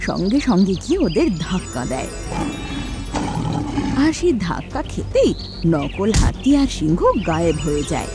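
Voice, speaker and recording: A woman narrating a children's cartoon story in an expressive storytelling way. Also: on the low side, normal speed, long pauses. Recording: children's music behind.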